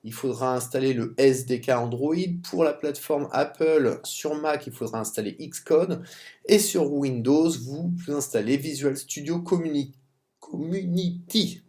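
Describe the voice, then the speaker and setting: A man's voice: mid-range voice, measured pace, darkish tone. A man with a cold and a French accent, talking through a screen-recorded coding tutorial, often stumbling and restarting words. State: has a cold. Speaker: software tutorial presenter.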